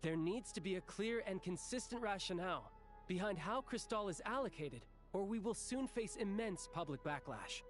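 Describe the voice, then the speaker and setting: A man's voice: sits high, lively intonation, moderate pace, soft background music. A man playing an earnest political representative in a game, arguing in a formal, insistent, articulate way.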